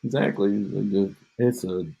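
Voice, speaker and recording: A man's voice: fairly low pitch, fairly even intonation, slow pace, gravelly, dark tone, husky. A man co-hosting a podcast, rambling and joking in casual chat, drifting off mid-thought, with an echo on his home mic. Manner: joking, meandering, trails off. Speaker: podcast co-host.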